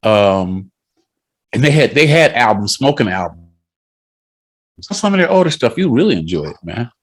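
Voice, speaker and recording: Dude hosting a music chat over a video call, easygoing, mid voice. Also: male voice, medium pitch, very animated, moderate pace, breathy edge. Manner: conversational, knowledgeable music fan. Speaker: radio show host. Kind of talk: casual interview on a video call.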